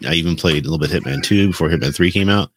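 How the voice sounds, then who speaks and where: A man with a deep voice chats casually as a gaming podcast co-host, offering personal opinions in everyday conversational language. His voice is recorded dry, without audible room echo. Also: racing, slightly rough.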